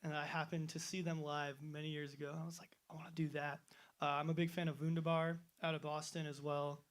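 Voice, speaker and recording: A man's voice: a little high, flat delivery, a little fast. A nineteen-year-old man answering interview questions as a band member, chatty and self-deprecating. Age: teens.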